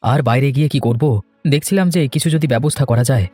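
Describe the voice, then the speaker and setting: A man's voice: medium pitch, quick pace, a little mellow, dry recording, soft background music, lightly acted. A man playing a worried, dutiful young married son in a cartoon, speaking earnestly and reassuringly. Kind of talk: cartoon voice acting, domestic moral story.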